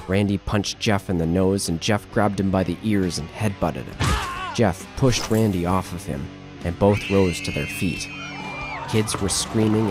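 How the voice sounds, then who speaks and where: A man narrating a scripted horror story. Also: low-pitched.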